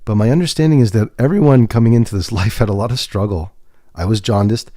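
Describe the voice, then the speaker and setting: A man livestreaming a tarot reading, talking in a gentle, reassuring way with pauses and restarts. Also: fairly low pitch, dry recording, studio quality. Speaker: tarot reader and podcast host. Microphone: webcam setup.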